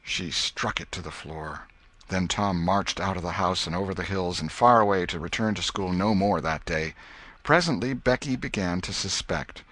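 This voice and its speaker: Low-voiced man narrating a novel. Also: moderate pace, fairly bright tone, no room sound. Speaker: audiobook narrator. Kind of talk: scripted literary narration.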